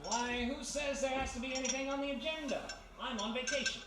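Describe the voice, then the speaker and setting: A woman's voice: sits high, a little fast, close to an everyday voice. A woman playing a cocky, wisecracking male ex-thief turned private eye, with breezy banter in old radio detective style. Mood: breezy, teasing. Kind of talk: 1940s radio detective acting. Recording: echoey live room, distant mic, faint noise.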